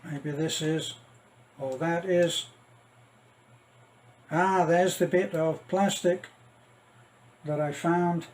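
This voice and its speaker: A middle-aged man giving a casual, rambling unboxing commentary, halting and thinking aloud as he goes. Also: a little high, fairly even intonation, a little mellow, low-quality recording. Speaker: vlogger.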